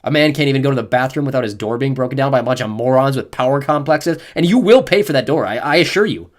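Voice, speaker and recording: A man voicing a forceful, assured middle-aged father in an audiobook. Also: mid-range voice, lively intonation, a little fast, voice pushed up, lightly acted. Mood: indignant. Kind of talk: audiobook character voice.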